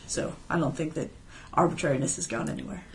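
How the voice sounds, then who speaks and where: A woman with a lowish voice, speaking matter-of-factly as a panelist. A faint background hum sits under her words. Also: wildly swooping pitch, fast. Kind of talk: panel discussion.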